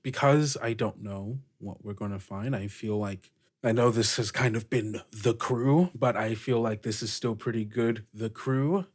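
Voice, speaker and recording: Man playing a halting, weary sea captain. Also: on the low side. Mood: earnest, awkward. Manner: halting, deliberate. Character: sea captain of a fantasy crew, earnest, weary.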